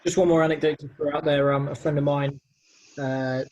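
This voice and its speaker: A man co-hosting a podcast, telling things casually in a halting, hesitant way. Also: on the high side, little pitch movement, a little mellow. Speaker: podcast co-host.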